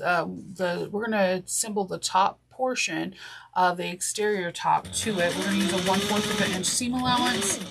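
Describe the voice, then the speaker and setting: Congested, nasal woman with a gravelly voice. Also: medium pitch. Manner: chatty, self-correcting, apologetic. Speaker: sewing instructor. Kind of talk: step-by-step craft tutorial. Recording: noisy with clicks.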